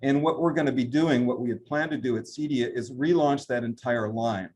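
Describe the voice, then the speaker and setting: A man, a brand president, giving a trade interview and running through product lines with steady talk and frequent fillers. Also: mid-range voice, brisk. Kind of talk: business interview, product rundown.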